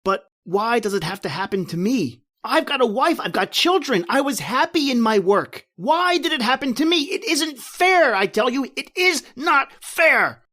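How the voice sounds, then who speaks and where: A man's voice: very high-pitched, sing-song, normal speed, few pauses, pushed and bright, full character voice. An actor playing an anguished married man trapped in prehistoric time, telling his horror in melodramatic old-time radio style. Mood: desperate, protesting. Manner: melodramatic, intense, repetitive narration.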